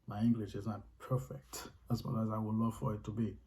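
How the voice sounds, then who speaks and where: A man's voice: on the low side, level tone, brisk, dark tone. A man with a Haitian accent, earnestly explaining his faith in casual talk, restating his points for emphasis.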